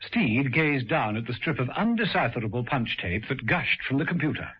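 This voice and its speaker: A man narrating a radio drama in a measured storytelling manner. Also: mid-range voice, sing-song, breathy edge. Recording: dull lo-fi radio broadcast.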